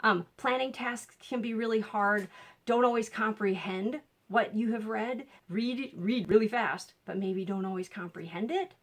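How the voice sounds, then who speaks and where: Minnesotan woman, coach, casual and upbeat to camera, mid-pitched voice. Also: a little slow. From Minnesota, USA. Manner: chatty, upbeat, with little laughs and fillers. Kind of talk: casual direct-to-camera talk.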